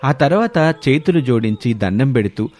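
A man's voice: mid-range voice, lively intonation, normal speed, darkish tone, soft background music. A man narrating a scripted moral story in a dramatic storytelling style.

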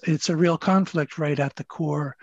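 Halting scholarly man, slightly high voice. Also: fairly even intonation, no echo. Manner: halting, thoughtful, scholarly phrasing. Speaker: historian panelist. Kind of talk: unscripted panel discussion, explanatory. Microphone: video call. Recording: dull, lo-fi video-call audio.

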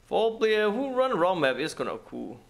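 A man's voice: sits high, very animated, moderate pace, vocal fry. A man giving casual gameplay commentary in non-native, broken English, thinking aloud in short, repeated phrases. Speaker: gaming video host.

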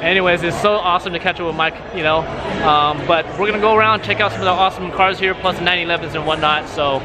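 A man in his thirties hosting a casual vlog at an outdoor car auction, talking excitedly to a handheld camera. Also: high-pitched, quick pace. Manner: excited, enthusiastic. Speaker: vlog host. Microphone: handheld camera at arm's length. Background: crowd chatter and music.

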